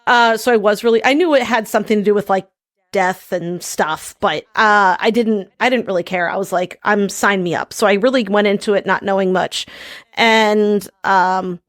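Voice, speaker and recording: A middle-aged woman hosting a podcast in casual chat, her voice husky and a little hoarse from yelling. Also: medium pitch, moderate pace, dry recording, clean studio sound. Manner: chatty, laughing, reads synopsis aloud. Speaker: podcast host.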